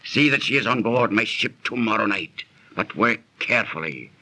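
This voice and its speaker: Forceful, sharp-voiced villainous sea merchant. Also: male voice, on the low side, animated, moderate pace, full character voice, low-quality recording. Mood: menacing, commanding. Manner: commanding, scheming, archaic formal speech. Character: crafty, lustful seafaring merchant villain. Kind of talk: 1930s radio acting. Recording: old 1930s radio recording.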